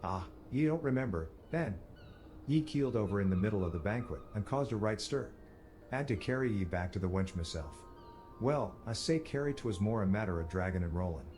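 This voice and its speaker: A man plays a folksy male sailor in a game, fairly low in pitch. His talk is colloquial and teasing, with music behind it. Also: animated, brisk, a little mellow, close to an everyday voice. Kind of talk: scripted video game dialogue.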